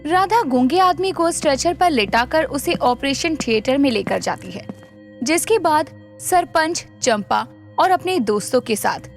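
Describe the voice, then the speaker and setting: A woman narrating a scripted moral story for animation in a dramatic storytelling style. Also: high-pitched.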